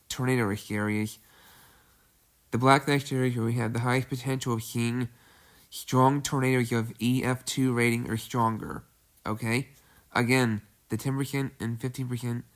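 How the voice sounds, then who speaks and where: A man with a stuffy, congested voice hosting a casual severe weather briefing, halting and repeating himself with many fillers. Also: mid-range voice. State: congested from a cold. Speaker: weather video host. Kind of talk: casual weather briefing over outlook maps.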